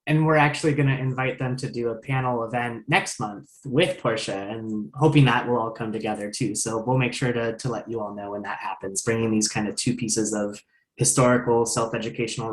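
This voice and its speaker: Low-voiced man in an echoey room. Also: fairly even intonation, brisk. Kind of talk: announcement on a video call.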